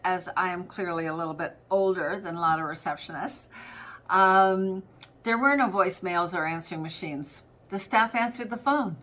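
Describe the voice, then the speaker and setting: A woman's voice: mid-range voice, a bit bright. A middle-aged woman coach giving an informal, conversational training talk, explaining things with everyday anecdotes and examples. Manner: conversational, anecdotal, acts out example phone greetings. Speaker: practice management coach presenting a training episode.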